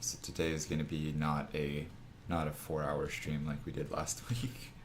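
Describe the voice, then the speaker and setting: A man with a raspy, groggy morning voice, casually rambling through a drawing lesson on a live stream, with many restarts. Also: a bass voice, completely flat delivery, moderate pace, noisy background. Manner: rambling, full of restarts and fillers. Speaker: art streamer teaching figure design.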